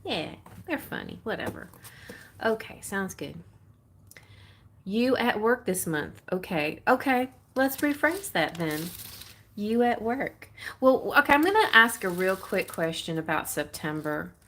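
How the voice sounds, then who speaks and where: A woman's voice: mid-range voice, sing-song, unhurried, a bit bright, slight background noise. A woman putting on a Texas accent, chatting casually as she hosts a live tarot reading, playful and rambling as she thinks aloud. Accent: put-on Texas. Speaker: tarot reader hosting a livestream.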